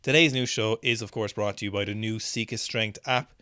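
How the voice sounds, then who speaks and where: Male host, low-ish voice, casual chatty commentary, thinks aloud, dry close-miked sound. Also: fairly even intonation, moderate pace, pushed and bright, no echo. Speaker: news show host. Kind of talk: casual video commentary on weightlifting clips.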